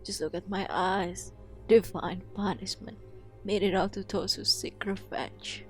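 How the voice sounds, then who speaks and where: A woman's voice: medium pitch, steady tone, a little slow, a bit bright, natural voice. A woman voicing a weary, solemn old male mentor in a storybook reading, with a grave delivery. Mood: weary warning. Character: weary, nearly blind old male musket teacher, solemn. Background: music.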